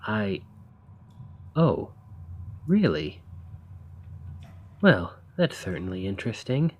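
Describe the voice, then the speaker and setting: A man playing an eager, courteous professor of ancient civilizations in a scripted ASMR roleplay, speaking in a formal, bookish, slightly halting way with a husky voice. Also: fairly low pitch, very animated, heavily acted. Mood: fascinated, polite. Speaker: audio roleplay performer.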